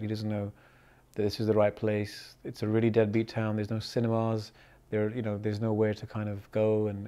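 A man's voice: fairly low pitch, darkish tone. A man in his thirties with a London accent, a film director answering interview questions thoughtfully and often repeating words as he searches for the right phrase. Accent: London English. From born in Pakistan, grew up in the UK. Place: indoors in front of a film poster.

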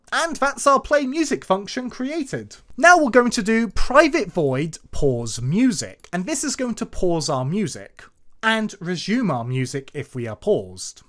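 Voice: mid-range voice, a bit bright. A programming tutorial presenter narrating code aloud in an explanatory, step-by-step way.

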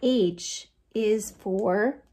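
An American woman in her forties hosting a read-aloud storytime for young children, in an animated, sing-song way with playful sound effects. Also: mid-range voice, very slow. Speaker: children's librarian, storytime host. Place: small lamp-lit room at home.

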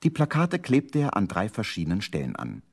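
A man's voice: on the low side, wildly swooping pitch, fairly quick, full character voice. A man narrating a children's radio play from the 1970s in a storytelling manner.

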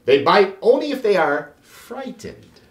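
A man voicing a busy, caring female schoolteacher in a storybook, brisk and explanatory. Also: sits high, sing-song, roomy sound. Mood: explaining.

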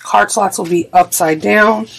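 A woman's voice: medium pitch, measured pace, vocal fry. A woman teaching a sewing project, talking casually and thinking aloud as she works. She is getting over a cold and her voice cracks now and then. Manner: chatty, thinks aloud while working. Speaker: sewing instructor. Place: craft room at a sewing table.

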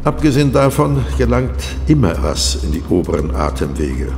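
Wry pathologist, lively and echoey. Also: male voice, mid-range voice, moderate pace, creaky. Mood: relishing explanation. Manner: theatrical, savoring explanations. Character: wry, relishing male forensic pathologist. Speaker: actor in an audio drama. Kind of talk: German crime audio drama acting. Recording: echoey hall, loud music behind.

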